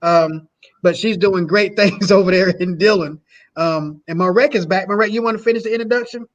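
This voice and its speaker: A man's voice: high voice, brisk, muffled recording. A man co-hosting a podcast, joking and laughing as he introduces a guest. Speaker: podcast co-host. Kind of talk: casual podcast chat.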